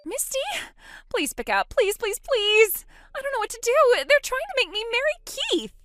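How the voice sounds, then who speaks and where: A woman voicing a frightened young woman who pleads and panics in a high, strained voice. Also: sing-song, normal speed. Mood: panicked.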